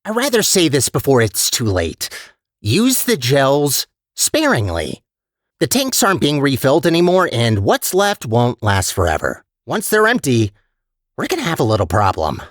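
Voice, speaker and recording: Animated, chatty little robot sphere. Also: medium pitch, moderate pace, dry recording, studio quality. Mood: cautionary. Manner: animated, expressive, conversational, pleading and wry. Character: chatty, nervous, eager talking robot personality sphere. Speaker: game character, maintenance robot. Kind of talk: video game character voice lines.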